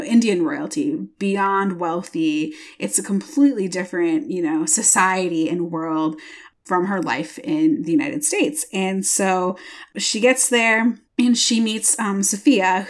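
A relaxed woman in her thirties chats through a book's plot on a podcast. Her voice sits a little low, with plenty of vocal fry. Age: 30s. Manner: relaxed, chatty, filler-heavy. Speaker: podcast co-host. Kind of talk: casual book chat.

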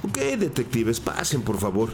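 An actor playing a nervous, evasive school bus driver and drug dealer, pleading and defensive, in a crime audio drama. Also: male voice, medium pitch, very animated, normal speed, music in the background, close to an everyday voice. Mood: panicked pleading.

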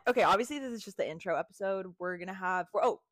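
A woman's voice: medium pitch, rapid-fire, a bit bright, dry recording, studio quality. A young American woman co-hosting a podcast, chatting casually in a bubbly, giggly way with girl-talk slang. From Miami, United States. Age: young adult. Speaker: podcast co-host. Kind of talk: casual chat.

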